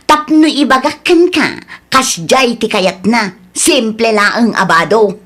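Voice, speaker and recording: An actress as a scolding village woman in a radio comedy drama. Her voice is high and swings widely in pitch. She exclaims and challenges with indignation. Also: lively intonation, takes it slowly, fairly bright tone, heavily acted. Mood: indignant scolding.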